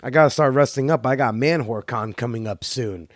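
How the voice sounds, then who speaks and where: A man hosting a podcast, talking casually in a rambling, halting way with many fillers. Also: medium pitch, no echo, clean studio sound. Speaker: podcast host; comedian. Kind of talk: casual solo monologue.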